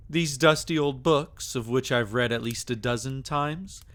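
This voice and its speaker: A man's voice: medium pitch, measured pace, fairly bright tone, natural voice. A man acting as a sadistic, possessive vampire lord in an intimate ASMR roleplay, teasing and taunting with theatrical, menacing endearments.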